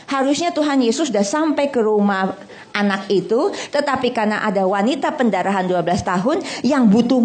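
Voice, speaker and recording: A middle-aged woman preaching to a congregation in a hall. She is animated and blunt, jokes in casual slang and keeps asking the audience questions. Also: on the high side. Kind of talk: lively sermon.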